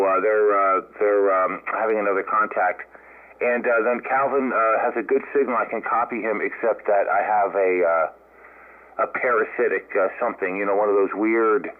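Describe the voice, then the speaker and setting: An American man working as an amateur radio operator, speaking haltingly in an unscripted exchange over a radio link. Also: lowish voice. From United States. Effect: radio transmission. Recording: narrow band-limited ham radio audio. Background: radio band sound.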